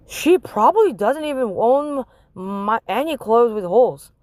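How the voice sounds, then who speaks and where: Woman reading a cocky, skeptical boy, animated. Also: fairly high pitch, unhurried, dry recording. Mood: doubting. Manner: emphatic, doubting. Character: skeptical, cocky young schoolboy who loves betting. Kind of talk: children's storybook read-aloud.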